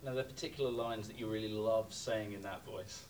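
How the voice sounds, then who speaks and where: A man interviewing an actress, asking questions in a halting way with repeated words. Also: lowish voice, steady tone, a little mellow. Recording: distant mic, faint noise.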